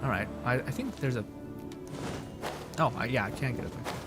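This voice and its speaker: A man's voice: mid-range voice, lively intonation, brisk. A young man giving casual, sweary, joking live gameplay commentary and chatting with viewers. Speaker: game streamer. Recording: music playing behind voice. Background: background music.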